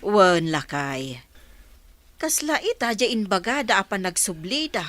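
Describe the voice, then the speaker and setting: A woman's voice: mid-range voice, big pitch swings, measured pace, fairly bright tone, dry recording. A woman playing a chatty older village wife in an Ilocano radio drama, with an Ilocano accent. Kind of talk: radio comedy-drama acting.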